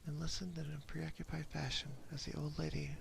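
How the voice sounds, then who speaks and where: A man reading a story aloud as ASMR in a soft, hushed, unhurried whisper, close to a binaural microphone. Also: on the high side, little pitch movement, gravelly, a little hiss or hum. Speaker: ASMR streamer.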